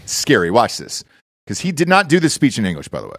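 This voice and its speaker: A man's voice: medium pitch, wildly swooping pitch, fast talker, fairly bright tone, no echo. Guy podcast host, chatty n blunt, swears a lot, kinda dry close sound. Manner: blunt, profane, inquisitive. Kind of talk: casual chat, swearing.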